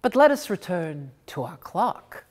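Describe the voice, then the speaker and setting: A woman reading a literary story aloud in an expressive, theatrical and deliberate manner. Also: lowish voice. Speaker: story reader.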